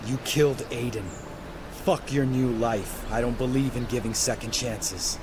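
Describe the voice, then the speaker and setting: A man's voice: medium pitch, measured pace, background noise, close to an everyday voice. A man playing a bitter, vengeful monster hunter in a video game, blunt and abrasive. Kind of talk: video game character acting.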